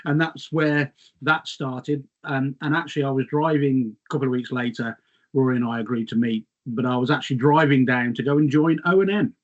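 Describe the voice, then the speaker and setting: A man's voice: mid-range voice, steady tone, a little fast, highs cut off. Middle-aged man telling rambling stories. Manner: rambling, self-mocking storyteller. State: slightly losing his voice. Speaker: guest. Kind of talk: casual reminiscing chat.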